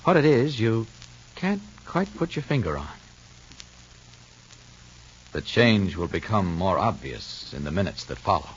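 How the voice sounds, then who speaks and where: A man's voice: sits low, sing-song, unhurried, pauses often, husky. A man working as a 1950s radio announcer, reading narration and ad copy in a polished, emphatic style. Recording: muffled old radio recording.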